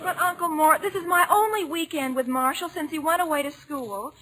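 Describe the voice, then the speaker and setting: A woman's voice: high-pitched, brisk, over a noisy crowd, heavy background noise, lightly acted. A woman playing a dramatic, mortified teenage big sister who pleads, in a 1940s radio comedy. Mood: upset pleading. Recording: 1940s radio broadcast recording.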